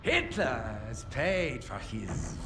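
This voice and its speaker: An actor playing a fanatical Nazi officer villain in a video game, giving grandiose, deliberate speeches with solemn conviction. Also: male voice, medium pitch, big pitch swings. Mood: cold, fervent. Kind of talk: dramatic video game cutscene acting. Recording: loud music behind the voice.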